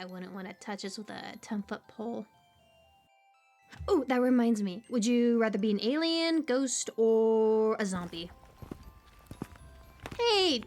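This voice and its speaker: A woman playing a hyperactive, kooky young stranger in an audio roleplay, rambling and stammering through playful, slangy banter addressed to the listener. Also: fairly high pitch. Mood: playful, pleading. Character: hyperactive, chatty, kooky young female cemetery stranger, teasing.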